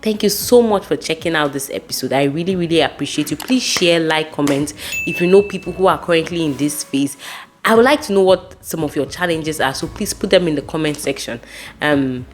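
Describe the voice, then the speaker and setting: A woman's voice: low-pitched, a little fast. A woman from Nigeria with a Nigerian accent gives casual advice to viewers as a host, speaking emphatically and repeating key words. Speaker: host giving career advice.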